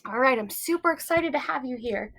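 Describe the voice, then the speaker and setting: A woman hosting a story time for young children, speaking in an encouraging, animated way with simple phrases and questions put straight to the listeners. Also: high-pitched, fairly quick, gravelly.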